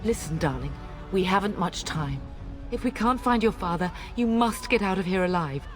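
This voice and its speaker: A woman's voice: on the low side, animated, moderate pace, close to an everyday voice. A woman playing a middle-aged noblewoman mother in a fantasy video game, commanding, earnest and formal, fierce in her grief. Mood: urgent, resolute. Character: fierce, grieving noblewoman mother of a besieged castle. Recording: dramatic music behind.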